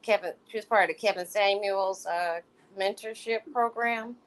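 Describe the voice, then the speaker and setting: A woman's voice: medium pitch, moderate pace, poor audio. A woman hosting a livestream, reading messages aloud and chatting casually in commentary. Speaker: livestream host.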